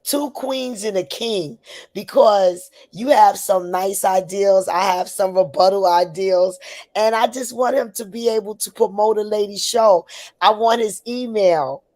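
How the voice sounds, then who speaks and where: Familiar woman caller with mid-pitched voice. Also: a little slow, darkish tone. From Harlem, New York City. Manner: familiar, uses terms of endearment. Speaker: panel guest caller. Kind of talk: casual chat.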